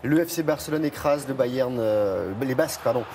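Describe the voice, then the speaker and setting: A man's voice: mid-range voice, normal speed, a little mellow, slight background noise. A man presenting sports results on morning TV, stumbling over names and joking about it.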